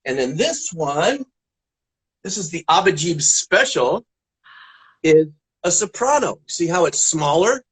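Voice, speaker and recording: A man's voice: fairly high pitch, sing-song, slow, long pauses, a bit bright, husky, poor audio. A man talking casually to children as a guest puppeteer and musician, explaining in simple steps. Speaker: guest puppeteer and ukulele player.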